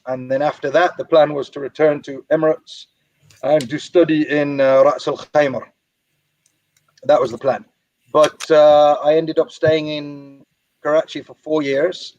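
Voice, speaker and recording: A man from Ireland and Birmingham telling his own story as an interview guest, measured and reflective, with some Arabic phrases. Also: fairly high pitch, darkish tone, slight background noise. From Ireland and Birmingham, England. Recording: dry, slightly dull lo-fi audio.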